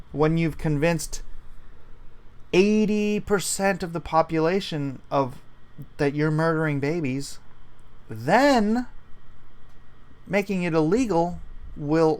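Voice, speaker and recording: A man's voice: fairly high pitch, clear, resonant tone. A man hosting a commentary show, earnestly arguing his view step by step, repeating key points and reading out viewer comments.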